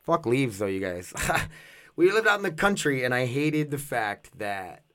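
A man's voice: mid-range voice, sing-song, measured pace, a bit bright, dry recording, clean studio sound. A young man, rambling casually to his viewers, often restarting and laughing at himself. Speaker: vlogger.

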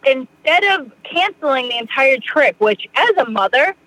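A middle-aged woman from Massachusetts, a podcast guest rambling through a personal story with many fillers and quick laughs. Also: high voice, lively intonation, pushed and bright, dry recording, low-quality recording. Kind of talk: casual storytelling in an interview.

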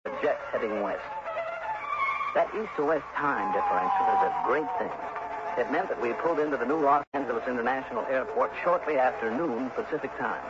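A man's voice: medium pitch, lively intonation, brisk, natural voice. A very noisy, muffled vintage radio recording carries a self-assured insurance investigator acted by a man. He is breezy, wry and unruffled, speaking in a mid-range voice in the early 1960s radio drama manner. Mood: brisk narrating. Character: cool, wry male freelance insurance investigator.

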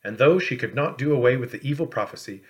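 A man narrating a storybook as an audiobook reader, in a measured, expressive storytelling manner. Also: mid-range voice.

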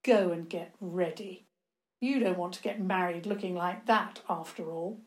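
A woman's voice: on the low side, measured pace, a little mellow, lightly acted. A woman voicing a cruel, arrogant young nobleman in a storybook, mocking and condescending. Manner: sneering, condescending.

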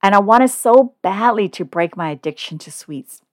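A woman's voice: mid-range voice, animated, moderate pace, darkish tone, no echo, clean studio sound. A woman in her forties, a podcast host from California, talking in an upbeat, chatty, personal way. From Oakland, California. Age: 40s. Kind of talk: scripted podcast monologue.

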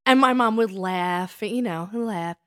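A woman in her thirties speaks as a podcast co-host at a medium pitch. She tells personal stories in a casual, animated way. She leans on words and repeats them for emphasis. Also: measured pace, no room sound, clean studio sound. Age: 30s.

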